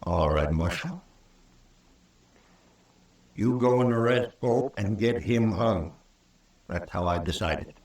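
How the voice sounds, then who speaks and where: Low-voiced, folksy frontier townsman. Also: lively intonation, takes it slowly, pauses often, a little mellow. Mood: stubborn, challenging. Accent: American. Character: stubborn, plain-spoken frontier townsman with an uneasy conscience. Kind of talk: old-time radio western acting. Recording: roomy, distant vintage radio recording.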